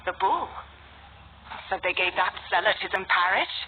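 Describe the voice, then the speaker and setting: A woman's voice: mid-range voice, animated, normal speed, natural voice. A woman playing a scornful, defiant young woman in a video game, with a sardonic, biting manner. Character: sharp-tongued, scornful young woman, defiant captive companion. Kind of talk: scripted video game cutscene acting. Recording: tinny phone-like band, noisy.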